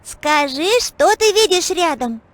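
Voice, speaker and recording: A woman voicing a cheerful, caring little girl who takes charge in a children's educational cartoon, eager and expressive. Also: sits high, measured pace. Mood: thoughtful, friendly.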